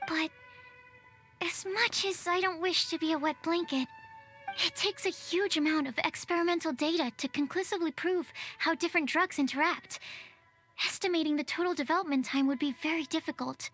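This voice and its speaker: A shy young alchemist's assistant with a very high, soft voice, timid and overly polite. She hesitates and stammers at times. Faint music plays behind her. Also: normal speed. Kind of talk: game cutscene voice acting.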